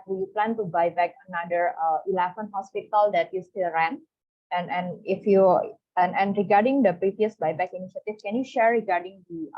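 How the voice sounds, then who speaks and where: A woman asking polite, tentative, wordy questions as an analyst on an online conference call. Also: medium pitch. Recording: dull lo-fi call audio.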